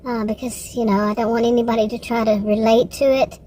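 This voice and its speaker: A woman with a Texan accent and a fairly high voice talks off the cuff in a casual personal vlog. She rambles and halts, circling back to correct herself. There is clear background noise on the recording. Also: little pitch movement, a little fast, dark tone. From Texas, United States. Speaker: vlogger.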